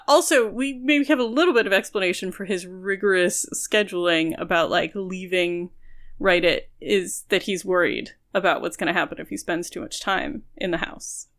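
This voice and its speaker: A woman's voice: fairly low pitch, fairly bright tone. A woman co-hosting a podcast, talking casually about a book and explaining her points at length with careful qualifications. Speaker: podcast co-host.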